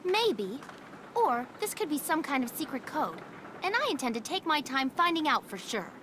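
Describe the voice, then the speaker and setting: A young woman playing a feisty, dutiful town guard in a video game, brisk and commanding. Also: high-pitched, very animated, noisy background. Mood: teasing, suspicious. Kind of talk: animated video game voice acting. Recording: loud music behind the voice. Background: music.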